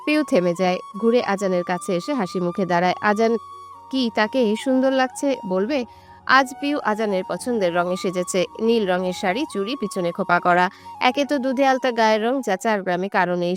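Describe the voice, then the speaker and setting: A woman reading a scripted romantic story in a dramatic narrating style. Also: medium pitch.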